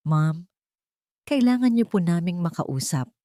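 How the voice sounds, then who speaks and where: A woman's voice: lowish voice, lively intonation, unhurried, mellow, dry recording, clean studio sound. A woman voicing a firm police officer in an audio drama, formal and insistent in questioning.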